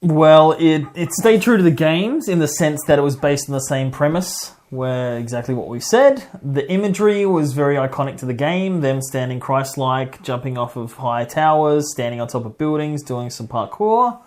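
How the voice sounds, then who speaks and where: A man's voice: on the high side, expressive, normal speed, hardly any pauses, darkish tone. A man co-hosting a podcast, giving his opinions in an informal, rambling chat. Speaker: podcast co-host reviewing a film. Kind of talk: casual chat.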